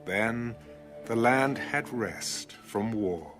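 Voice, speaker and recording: An actor playing a solemn narrator in a dramatized scripture reading, stately and declamatory. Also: male voice, mid-range voice, extremely slow. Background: music.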